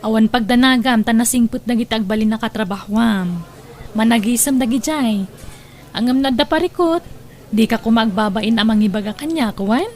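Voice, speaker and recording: A woman's voice: on the high side, normal speed, a little mellow, close to an everyday voice. A woman playing a kindly, practical restaurant boss in a radio drama, reassuring and questioning. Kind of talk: Ilocano radio drama acting.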